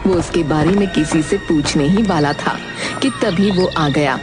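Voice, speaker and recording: A woman's voice: deep voice, measured pace, music in the background. A woman narrating a scripted horror story in a dramatic storytelling manner.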